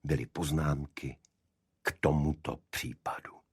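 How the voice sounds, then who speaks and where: A man reading a detective story as an audiobook narrator, in a scripted literary style with a husky voice. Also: a bass voice, steady tone.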